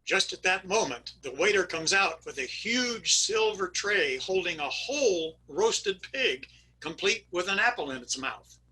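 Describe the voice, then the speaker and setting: A man's voice: sits high, animated, measured pace, sharp tone, breathy edge. An older man giving a performed reading of jokes and stories on a video call. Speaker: readers theater performer.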